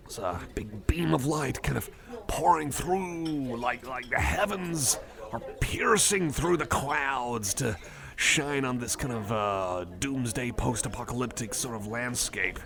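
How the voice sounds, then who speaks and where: A man's voice: medium pitch, vocal fry. A man doing a casual ASMR roleplay as a friendly, nerdy cyborg, rambling hesitantly and correcting himself with mild enthusiasm. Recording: cafe chatter behind the voice. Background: cafe crowd ambience.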